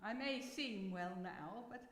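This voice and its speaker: A woman's voice: mid-range voice, measured pace, close to an everyday voice. A woman playing a playful, genteel society lady who is seriously ill but defiant, in a live radio drama. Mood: hesitant, grave. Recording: echoey hall, live stage performance.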